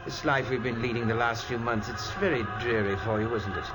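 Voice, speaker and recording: An actor playing an obsessed scientist who believes insects hate him, in a 1940s radio drama. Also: male voice, mid-range voice, a little fast, close to an everyday voice, rough recording. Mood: tender. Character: obsessed male scientist, paranoid about insects. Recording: old radio drama with music.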